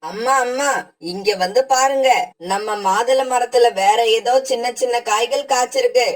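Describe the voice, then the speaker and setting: A woman's voice: on the high side, moderate pace, far from the mic, lightly acted. A woman voicing a mischievous, eager young male crow child in a children's cartoon. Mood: alarmed, calling.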